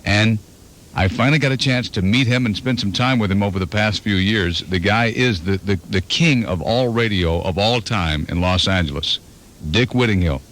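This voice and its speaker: A man's voice: on the low side, moderate pace, fairly bright tone. Playful male morning radio host. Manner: storytelling, playful, slips into voices. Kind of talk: fast joking radio banter. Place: radio studio. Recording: 1991 FM radio aircheck.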